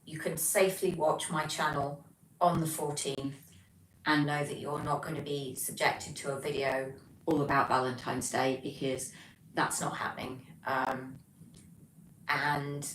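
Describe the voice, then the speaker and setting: A young British woman hosting a livestream from her flat, reading out chat messages and rambling casually with lots of ums. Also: low-pitched. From Britain. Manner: chatty, rambling, many ums. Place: home, flat. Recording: echoey room, distant mic, faint noise.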